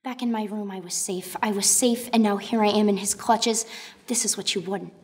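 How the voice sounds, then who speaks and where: A woman's voice: mid-range voice, fairly even intonation, normal speed, a little mellow, in a large hall, lightly acted. A woman playing a brainy, nervous, sardonic teenage girl inventor in a radio play, wordy and wry. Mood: anxious, sardonic. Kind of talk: radio play monologue and dialogue.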